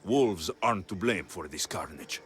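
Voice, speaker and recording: An actor playing a terse, protective, hot-tempered mercenary in a video game. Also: male voice, deep voice, animated, normal speed. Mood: grim, horrified. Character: gruff male Greek mercenary hero, protective, hot-tempered. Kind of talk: dramatic video game acting. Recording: dramatic music behind the voice.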